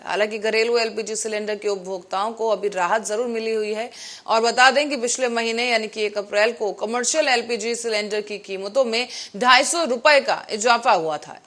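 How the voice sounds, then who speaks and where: A woman's voice: on the high side, fairly bright tone. A woman news anchor reading scripted headlines and reports.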